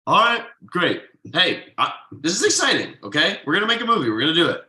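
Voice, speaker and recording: Man reading as earnest writer, bright forceful voice. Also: sits high, big pitch swings, quick pace, heavily acted. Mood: excited. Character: Thomas, earnest, frugal male writer, easily excited. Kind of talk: table read of a TV pilot script.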